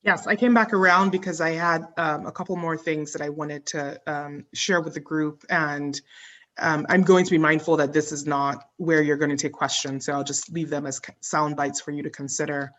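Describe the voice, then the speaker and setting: Low-voiced woman speaking earnestly with frequent ums. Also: steady tone, normal speed, fairly bright tone. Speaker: advisory committee member. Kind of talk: public meeting remarks.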